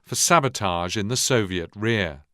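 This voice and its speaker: A man's voice: lowish voice, animated, moderate pace, very noisy, close to an everyday voice. A man narrating a war video game in a scripted, documentary-like style. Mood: measured, serious. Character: male narrator of a Second World War campaign. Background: music.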